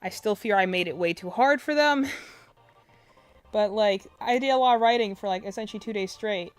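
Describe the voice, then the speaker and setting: A young woman with a quiet, soft voice chatting casually on a game stream, rambling with lots of fillers and restarts, close to her desk mic. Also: medium pitch, fairly quick, faint music behind. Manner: rambling, filler-heavy, self-interrupting. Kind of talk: casual live-stream chat. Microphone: desk mic close to face.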